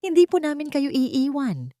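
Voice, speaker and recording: Audio-drama heroine played by a female narrator: young, brave, devoted, urgent, pitched up. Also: sing-song, moderate pace, dark tone, dry recording, clean studio sound. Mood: urgent, determined. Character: brave, devoted young woman, frightened yet determined.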